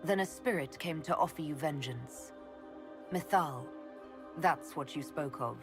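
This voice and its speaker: An actress playing a composed, determined young heroine in a fantasy video game, speaking in an earnest, plain way. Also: female voice, deep voice, measured pace. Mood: composed, curious. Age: young adult. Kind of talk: dramatic video game acting. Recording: orchestral game music behind the voice.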